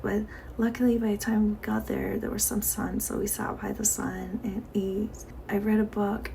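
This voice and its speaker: Soft-spoken woman with vocal fry. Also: medium pitch, a little hiss or hum, rough recording. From Iran. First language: Persian. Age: 40s. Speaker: vlogger. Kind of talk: casual diary chat to camera.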